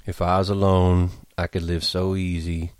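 A man voicing a weary, gruff, resigned ranch hand from a novel, terse and wooden in rural American dialect. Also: fairly low pitch, brisk, a little mellow, no echo, close to an everyday voice.

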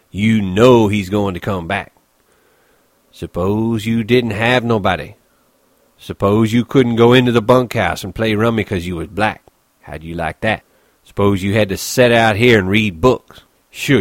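A man acts out a lonely stable hand for an audiobook. He is bitter and scornful, brooding in plain rural ranch talk. The voice sits fairly low in a dry, close recording. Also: dry recording, lightly acted.

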